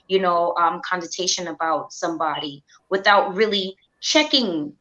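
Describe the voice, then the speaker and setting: Woman, bright forceful voice, earnest panelist, conversational, dull lo-fi audio. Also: lowish voice, moderate pace. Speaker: panelist on a live talk show. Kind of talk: conversational opinion sharing.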